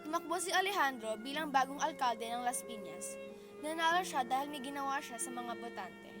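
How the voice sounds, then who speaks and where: A woman's voice: sits high, expressive, a little fast, music in the background. A woman reading scripted narration for an amateur radio drama.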